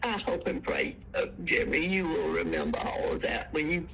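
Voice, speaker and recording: Casting: grandmotherly older woman, crackly fry in the voice, informal interview talk, slight background noise. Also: deep voice, huge pitch swings, normal speed, fairly bright tone. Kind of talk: casual family interview.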